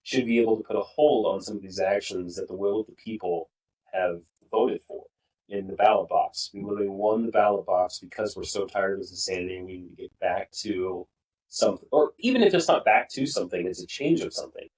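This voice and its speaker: Young male podcast co-host, low-ish voice, earnest and rambling, a bit off-mic. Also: fairly quick, a little mellow, far from the mic, poor audio. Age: young adult. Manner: earnest, opinionated, rambling with restarts. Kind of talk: casual political discussion.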